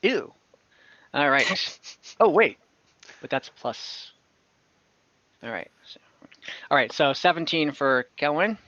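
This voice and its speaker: A man narrating as dungeon master in an online tabletop game, relaxed and chatty with many fillers. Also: a little high, takes it slowly, long pauses, no echo. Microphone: computer mic on a video call. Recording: muffled online call audio.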